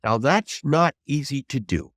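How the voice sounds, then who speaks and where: A man in his seventies, a trial lawyer teaching advocacy in an emphatic, deliberate, conversational way with rhetorical prompts. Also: medium pitch, animated, husky, no echo, studio quality. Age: 70s. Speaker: trial lawyer, interview guest.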